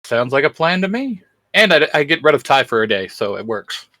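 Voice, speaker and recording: A man's voice: mid-range voice, big pitch swings, fairly quick, bright, forceful tone, no echo. A young man hosting a YouTube channel in casual, slangy chat.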